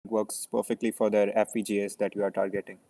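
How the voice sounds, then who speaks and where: A man's voice: on the low side, level tone, fast talker, very noisy. A man reading audience questions aloud in an online meeting. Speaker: moderator reading chat questions.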